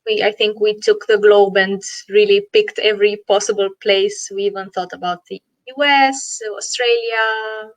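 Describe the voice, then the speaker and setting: A woman from Romania with a Romanian accent, a coach telling her story reflectively in an online interview, with many pauses and fillers. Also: mid-range voice, steady tone, no room sound, low-quality recording. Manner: reflective, with many "uh" and "you know". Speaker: guest, NLP coach and founder. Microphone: video call.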